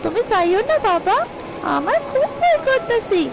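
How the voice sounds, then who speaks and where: A woman's voice: very high-pitched, big pitch swings, normal speed, heavy background noise, exaggerated voice. A woman voicing a small, frightened farmer's daughter, in a childlike, pleading way for a cartoon. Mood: scared. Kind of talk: cartoon voice acting. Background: background music.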